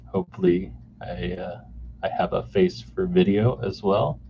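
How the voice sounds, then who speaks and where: A man in his forties hosting a solo podcast off the cuff, halting with many fillers and restarts, recorded on a camera mic indoors. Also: sits low, gravelly. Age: 40s. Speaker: podcast host. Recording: dull lo-fi camera mic sound with faint noise.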